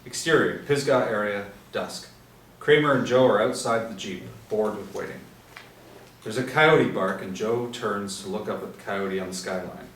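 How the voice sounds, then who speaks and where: Low-voiced man narrating, echoey room. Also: moderate pace, a bit bright. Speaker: narrator of stage directions. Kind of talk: scripted screenplay narration. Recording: echoey room, distant mic, faint noise.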